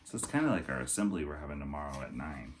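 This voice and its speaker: A man's voice: very deep voice, big pitch swings, quick pace. A man reading a storybook aloud as a teacher, expressively, speaking directly to young children.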